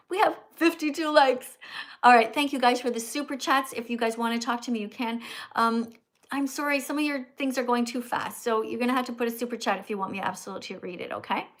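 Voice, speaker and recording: High-voiced older woman hosting a livestream. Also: fast talker. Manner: chatty, teacherly, repeats "okay?" often. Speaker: livestream host. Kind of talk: casual livestream chat with callers.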